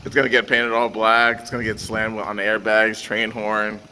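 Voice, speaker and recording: A man's voice: on the low side, completely flat delivery, normal speed, bright, forceful tone. A man hosting an informal car chat, laid-back and slangy, with some swearing. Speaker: car channel host. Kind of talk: casual chat, informal interview. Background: faint vehicle and ambient noise.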